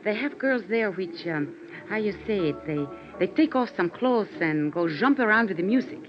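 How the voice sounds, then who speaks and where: Actress as a lilting, coy Latin bar hostess. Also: female voice, medium pitch, moderate pace, lightly acted. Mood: teasing. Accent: Latin American Spanish. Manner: broken English, lilting and expressive. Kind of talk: 1950s radio drama acting. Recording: dull vintage radio recording with music.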